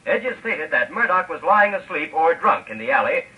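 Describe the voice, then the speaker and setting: An actor playing a radio news announcer with formal delivery, in 1940s radio acting. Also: male voice, on the high side, expressive, moderate pace, natural voice. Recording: dull distant radio broadcast.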